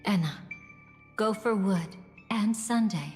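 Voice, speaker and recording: A woman voicing a composed, wistful storyteller in a video game, narrating in a measured, reflective and eloquent way. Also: medium pitch, darkish tone, in a large hall, soft background music, lightly acted. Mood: reflective, solemn. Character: composed, wistful female storyteller recounting past events.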